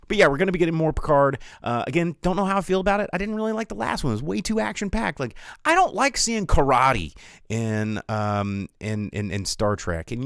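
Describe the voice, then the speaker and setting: An American man hosting a live film talk show, chatting casually with viewers in an animated, opinionated way and repeating words for emphasis. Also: medium pitch, creaky, smooth, no echo, clean studio sound. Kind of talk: casual livestream chat with viewers.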